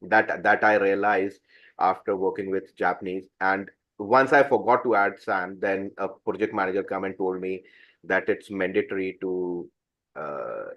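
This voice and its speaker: A man at a club meeting sharing a personal experience, pausing often to search for words. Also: low-pitched, muffled recording. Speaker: meeting participant. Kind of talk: personal anecdote.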